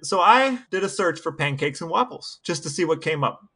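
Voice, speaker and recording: Male co-host, slightly high pitch, bright tone, casual banter. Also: expressive, moderate pace, breathy edge. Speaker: podcast co-host. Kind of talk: casual chat.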